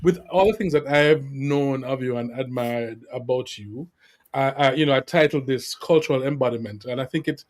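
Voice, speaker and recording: A man's voice: mid-range voice, moderate pace. A man hosting a podcast interview in a casual, conversational way, easing into his questions hesitantly and meandering a little.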